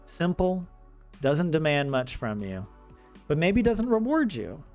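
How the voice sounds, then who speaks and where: A man's voice: on the high side, sing-song, a little slow, darkish tone. An American young man chatting casually on a live stream about life abroad, joking and laughing often between fillers and restarts. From United States. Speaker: streamer teaching Finnish. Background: faint video game music.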